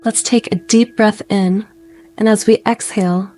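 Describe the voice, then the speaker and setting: A woman guiding a meditation in a gentle, soothing way, speaking in slow phrases with pauses. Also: mid-range voice, steady tone, faint music behind. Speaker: meditation guide. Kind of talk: guided meditation narration.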